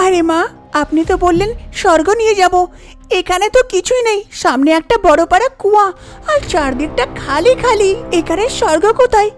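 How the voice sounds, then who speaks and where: A woman's voice: very high voice, animated, brisk, voice pushed up. A woman voicing a plaintive, reluctant young daughter-in-law in a cartoon folk tale. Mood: whiny, protesting. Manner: plaintive, protesting.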